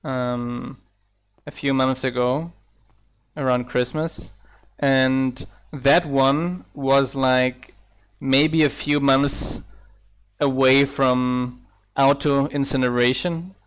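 A young man whose first language is German speaks with a slight German accent in a smooth, clear voice. He talks at an unhurried pace, rambling casually and laughing as he plays. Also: mid-range voice, steady tone. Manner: chatty, thinks aloud with restarts and laughs. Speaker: mod developer streaming gameplay. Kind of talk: casual live-stream chat while playing.

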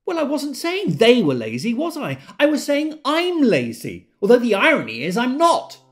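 A man's voice: very high-pitched, wildly swooping pitch, normal speed, creaky, faint music behind, full character voice. An actor playing a rueful, self-doubting everyman who tells his story in a wry, confiding, fussily precise way, as in an audio drama. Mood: exasperated. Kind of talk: dramatic monologue, audiobook-style first-person storytelling.

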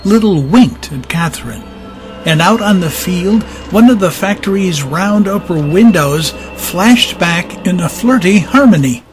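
High-pitched male story narrator over music. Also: expressive, a little slow. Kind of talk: scripted audiobook narration.

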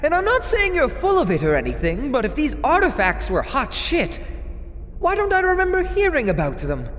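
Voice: high-pitched, huge pitch swings, normal speed, full character voice. An actor playing a skeptical, sarcastic, flippant male elf wizard in a fan comic dub. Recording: long reverb under loud music. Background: loud background music.

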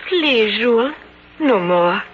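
Muffled old radio sound, faint noise, spirited young fiancée, high voice, dramatic, coquettish. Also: female voice, lively intonation, slow. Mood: impressed. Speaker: actor. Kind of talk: golden-age radio drama acting.

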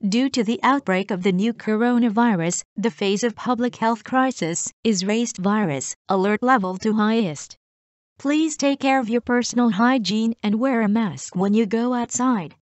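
A woman reading scripted subway announcements as a train announcer, in a formal and deliberate manner. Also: on the high side, dry recording, dull audio.

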